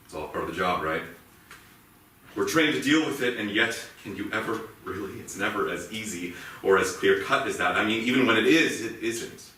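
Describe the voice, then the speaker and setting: An actor playing a haunted, guilt-ridden policeman and family man in a brooding, halting, intense stage monologue. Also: on the low side, expressive, pushed and bright. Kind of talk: dramatic theatrical monologue. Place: indoor theatre stage. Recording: echoey theatre, distant mic, faint noise.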